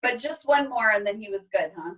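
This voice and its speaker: A woman with a slightly high voice talks casually as a teacher leading an online class. She sounds set back from the microphone on a video call. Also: expressive, a little fast, fairly bright tone, far from the mic, low-quality recording. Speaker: teacher hosting an online read-aloud.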